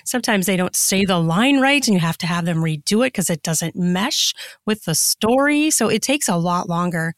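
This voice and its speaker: A woman's voice: on the low side, animated, normal speed, no echo. Woman guest on a podcast, chatty n open, explaining stuff casually, dry recording. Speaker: podcast guest, erotica author and narrator. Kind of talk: casual interview chat.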